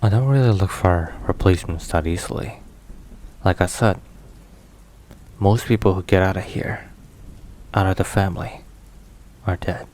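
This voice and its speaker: A man playing a stern, protective, weary mafia boss in an intimate ASMR roleplay, speaking in a grave, measured, halting way. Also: low voice, natural voice. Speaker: voice actor. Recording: steady rain and thunder noise. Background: rain and thunder.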